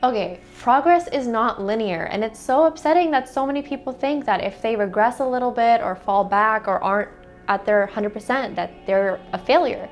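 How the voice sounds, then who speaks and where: A woman's voice: on the high side, moderate pace, soft background music. A young American woman answering interview questions in a casual, chatty way, with lots of "like" fillers. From United States. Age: young adult.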